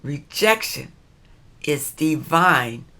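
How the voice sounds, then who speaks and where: A woman's voice: sits low, lively intonation, very slow, sharp tone. A middle-aged woman hosting a relationship advice channel, speaking emphatically and repeating phrases for stress as she addresses her female viewers. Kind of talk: spoken advice monologue, reading viewer letters.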